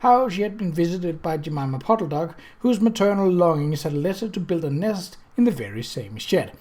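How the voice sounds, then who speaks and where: A storyteller reading a novel as an audiobook, in a measured, literary narrative style. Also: medium pitch, big pitch swings, a little mellow. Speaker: audiobook reader.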